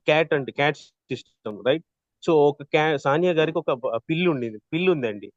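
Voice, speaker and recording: Higher-voiced man teaching, muffled sound. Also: a little fast, no room sound. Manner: checks in often with "right?". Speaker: voice modulation trainer. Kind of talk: interactive online lesson, explaining with acted examples.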